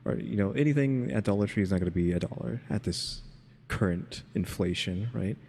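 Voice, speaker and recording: A man with a low, creaky voice comments casually in a very reverberant recording. He rambles as he thinks aloud, guessing his way through reactions off the cuff. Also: a little fast, darkish tone.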